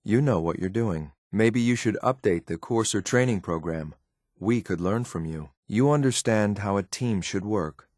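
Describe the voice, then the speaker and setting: Deep, deadpan synthetic soldier, acted by a man. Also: moderate pace, dry recording, studio quality, lightly acted. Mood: respectful, admiring. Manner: clipped, deadpan, military formality. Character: stern, loyal synthetic courser soldier, cool and lethal. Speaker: combat companion in a video game. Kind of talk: scripted video game voice lines.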